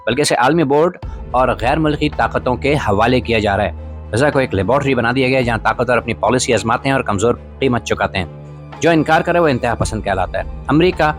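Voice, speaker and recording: A man's voice: mid-range voice, fairly quick. A man narrating scripted political commentary as a podcast host, in a formal, literary manner with rhetorical emphasis. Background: background music.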